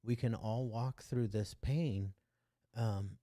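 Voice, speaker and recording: A man's voice: mid-range voice, fairly even intonation, slow pace, dark tone, dry recording, clean studio sound. A man hosting an online recovery meeting over a video call, earnest and casual, often restarting his sentences. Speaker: meeting host.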